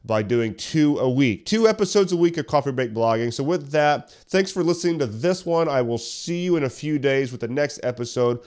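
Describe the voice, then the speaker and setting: A man hosting a solo podcast tutorial, talking conversationally and a little hoarse while getting over a cough, walking listeners through tips step by step. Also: mid-range voice, lively intonation, fairly quick, hardly any pauses, a little mellow. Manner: conversational, explains step by step with questions. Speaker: podcast host. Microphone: studio podcast mic.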